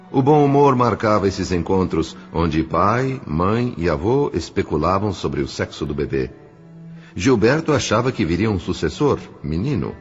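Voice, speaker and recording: A man with a Brazilian accent narrating a scripted audio novel in a measured, solemn way. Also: low voice. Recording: muffled, with music underneath.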